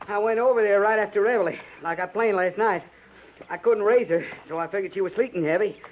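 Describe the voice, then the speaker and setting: An actor playing an anxious, dutiful frontier cavalry sergeant in a 1950s radio western, with an urgent, rustic way of reporting. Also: male voice, high voice, brisk, close to an everyday voice. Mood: worried urgency. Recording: tinny, noisy 1950s radio recording.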